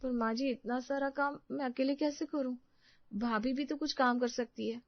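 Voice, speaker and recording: Woman as plaintive young wife, high voice. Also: fairly even intonation, normal speed, no echo, highs cut off. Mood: pleading. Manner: plaintive, protesting. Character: overworked, aggrieved young daughter-in-law, pleading. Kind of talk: Hindi family drama storytelling.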